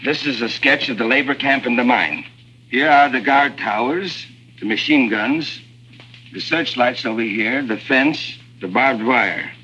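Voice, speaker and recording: An actor playing an earnest, cautious miner who runs an underground operation, formal and measured, in an early 1950s spy drama. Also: male voice, medium pitch, bright, forceful tone. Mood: grave. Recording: noisy vintage 1950s soundtrack.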